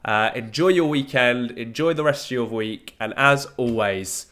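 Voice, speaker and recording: A man's voice: medium pitch, unhurried, fairly bright tone. Nasal man with a cold. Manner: earnest, pleading fan talk. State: blocked nose from a cold. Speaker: football fan YouTuber. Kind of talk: casual transfer news round-up.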